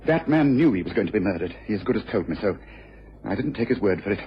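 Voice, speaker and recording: An actor playing a brisk, commanding detective in a radio crime drama, with an incisive, intense and self-reproachful manner. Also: male voice, fairly low pitch, lively intonation, close to an everyday voice. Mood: bitter self-blame. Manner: incisive, assertive, lecturing. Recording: dull, hissy old radio recording.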